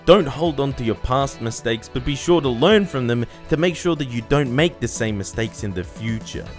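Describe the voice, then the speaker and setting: A man delivers a scripted game review as a voice-over. His pitch moves around in an animated way, and his manner is enthusiastic with casual swearing. Music plays softly behind him. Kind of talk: scripted video essay narration. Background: music under the voice.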